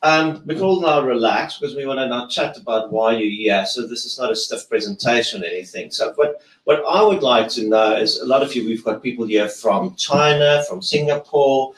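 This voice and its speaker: A man in his thirties hosting a relaxed group conversation in an office meeting room, stopping and restarting often. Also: medium pitch, echoey room, low-quality recording. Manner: relaxed, halting, many restarts. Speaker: host.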